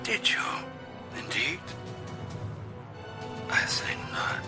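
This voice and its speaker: An actor playing a cold, megalomaniac middle-aged psychic villain in a video game, speaking in a deliberate, menacing way. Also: male voice, over music. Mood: cold menace. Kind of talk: theatrical live-action video game acting.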